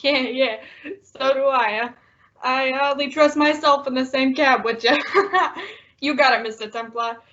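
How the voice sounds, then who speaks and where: Woman as a high-pitched, fretful loyal cabbie. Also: unhurried, a little hiss or hum. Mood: wisecracking. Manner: excitable, folksy. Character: loyal, wisecracking, fretful male cab driver. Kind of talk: old-time radio drama acting. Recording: dull lo-fi audio.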